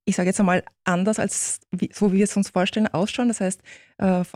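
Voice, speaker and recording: Woman guest author from Turkey, Turkish native speaker; friendly, explanatory; mellow tone, dry close studio mic. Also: mid-range voice, level tone, fairly quick, no echo. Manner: friendly, explanatory, often confirms with "genau". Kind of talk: radio interview.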